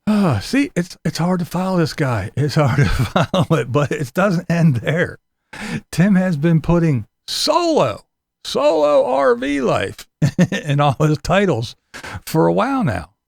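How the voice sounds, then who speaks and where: Sarcastic video commentator with gravelly fry and big pitch swings, recorded close and dry. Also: big pitch swings, a little slow, darkish tone, no echo. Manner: sarcastic, mocking. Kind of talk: mocking video commentary about another vlogger.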